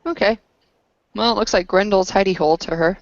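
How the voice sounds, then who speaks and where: A woman's voice: medium pitch, fairly quick, gravelly, no echo, highs cut off. A woman playing a tabletop roleplaying game, chatting in a wry, informal way. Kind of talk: casual game-table chat.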